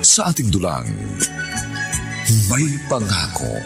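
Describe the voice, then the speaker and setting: A man's voice: deep voice, huge pitch swings, slow, over music. A man announcing a radio drama in a dramatic scripted style.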